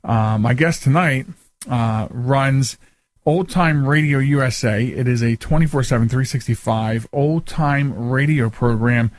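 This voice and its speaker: A man's voice: mid-range voice, unhurried, dry recording. A man hosting a radio talk show in casual, informal chat, often repeating and rephrasing himself.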